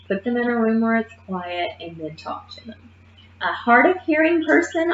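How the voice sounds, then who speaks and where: A woman teaching a nursing assistant class in a lively, emphatic way, often checking in with her listeners. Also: fairly high pitch, a little hiss or hum. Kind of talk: classroom lecture. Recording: muffled roomy classroom recording.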